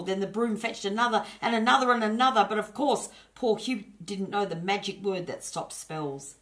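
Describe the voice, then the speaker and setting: A woman storyteller reading a children's story aloud, expressive and chatty with her young listeners. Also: medium pitch. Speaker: storyteller and host.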